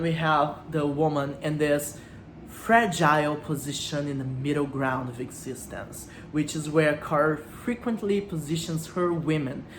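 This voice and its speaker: A woman giving literary commentary as a video host, speaking reflectively and posing rhetorical questions to her listeners. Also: sits low. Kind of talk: literary commentary with read-out quotes. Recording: roomy echo, faint background noise.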